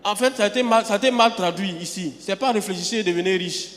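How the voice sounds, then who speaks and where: A man's voice: high-pitched, fast talker, a bit bright. A man from Côte d'Ivoire, preaching an emphatic sermon and often repeating words as he restarts. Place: church sanctuary. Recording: long hall reverb.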